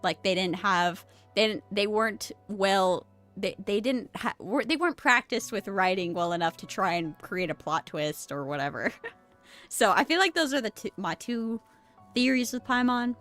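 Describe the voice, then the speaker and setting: A woman's voice: medium pitch, lively intonation, normal speed, a bit bright. A woman who is a little sick with a cold, chatting casually as a game streamer, rambling, restarting her sentences and easily amused. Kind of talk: casual live game stream commentary, reading dialogue aloud. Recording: game music behind the voice. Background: game music.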